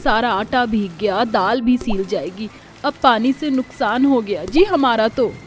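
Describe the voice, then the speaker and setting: A woman's voice: on the high side, measured pace, faint music behind, natural voice. A woman voicing a poor, anxious but practical village wife in dramatized storybook dialogue. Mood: anxious, lamenting.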